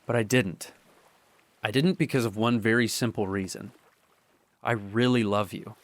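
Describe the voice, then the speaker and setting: A man acts out a young boyfriend in a radio drama, torn by guilt. His voice sits a little low, and he speaks earnestly and haltingly, repeating himself as he insists on his loyalty. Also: fairly even intonation, lightly acted. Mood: conflicted, then pleading, earnest. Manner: halting, repetitive, insistent confession. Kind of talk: dramatic audio monologue.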